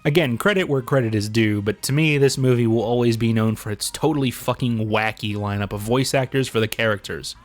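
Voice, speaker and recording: A man's voice: medium pitch, brisk, hardly any pauses. A man giving casual, opinionated video commentary in a blunt, sarcastic, sweary manner.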